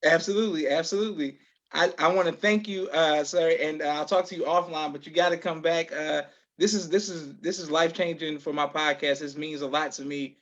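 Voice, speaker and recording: Male host, slightly high voice, effusive conversational delivery, somewhat muffled lo-fi audio. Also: steady tone, brisk, a bit bright. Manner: effusive, repeats affirmations. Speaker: podcast host. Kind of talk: casual interview chat.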